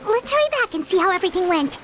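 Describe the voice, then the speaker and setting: A woman voicing a tiny, excitable fairy companion in a game, who talks about herself in the third person. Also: extremely high pitch, quick pace, background noise. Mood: chirpy. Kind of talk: game voice acting.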